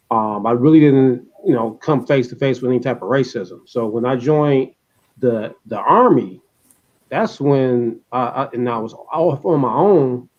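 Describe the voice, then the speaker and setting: A man's voice: medium pitch, darkish tone, dull audio. A man from the American Midwest casually recounting personal memories as a panel member. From Detroit, United States. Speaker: panel member, army veteran.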